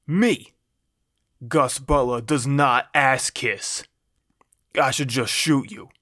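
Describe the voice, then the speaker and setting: A man acts out an exasperated gangster from a crime story. He rants and threatens in a put-on New York Italian-American accent, grudgingly admiring his enemy. The voice is mid-pitched and recorded dry. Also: slow, sharp tone, dry recording. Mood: seething, torn. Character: menacing, exasperated male gangster boss with tattoos.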